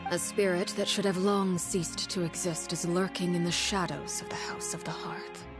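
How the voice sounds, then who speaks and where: A woman playing a composed, commanding leader in a video game, speaking in a measured, formal, coolly authoritative way. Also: on the low side, steady tone, over music, lightly acted. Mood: composed, matter-of-fact. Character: composed, commanding woman leading a secretive orphan house. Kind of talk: dramatic video game voice acting.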